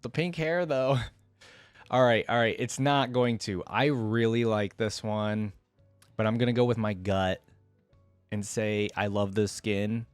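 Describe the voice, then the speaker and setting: A man's voice: lowish voice, steady tone, a little slow, smooth, no room sound, faint music behind. A man giving casual, unscripted reaction commentary as a video host, thinking aloud informally with frequent exclamations.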